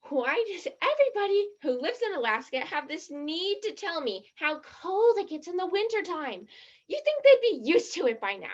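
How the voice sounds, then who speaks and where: Woman playing a high-pitched, blunt teenage girl. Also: big pitch swings, normal speed, dull audio. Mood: indignant, accusing. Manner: blunt, indignant, skeptical. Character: blunt, skeptical, dramatic teenage girl from New Jersey. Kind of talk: stage play acting.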